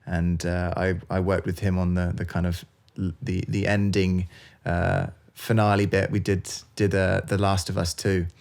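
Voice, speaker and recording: A man's voice: deep voice, flat delivery, normal speed, vocal fry, darkish tone. A man, a composer, chatting casually as an interview guest, with lots of fillers and restarts. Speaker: video game composer, guest.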